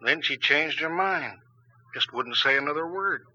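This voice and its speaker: Old muffled radio sound, amiable big-lug sidekick, highish sharp voice, lively swings, slangy. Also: male voice, moderate pace, slightly rough, heavily acted. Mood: sympathetic. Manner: slangy, informal. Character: amiable giant sidekick, plain-spoken and loyal. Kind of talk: 1940s radio drama acting. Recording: muffled 1940s radio broadcast.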